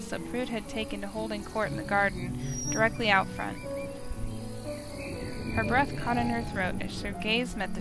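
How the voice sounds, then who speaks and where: Female story narrator, medium pitch, scripted read with prominent loud music underneath.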